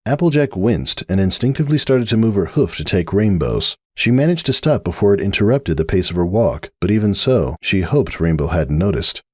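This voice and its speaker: A man narrating a scripted story in an expressive, measured storytelling manner. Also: lowish voice, husky, dry recording, studio quality.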